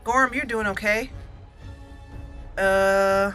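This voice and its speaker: A woman hosts a casual gaming playthrough in a bright, clear-edged voice with unhurried pacing. She thinks aloud and addresses the characters as she goes, with music behind her. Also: medium pitch, steady tone. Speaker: gaming channel host. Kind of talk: casual let's play commentary.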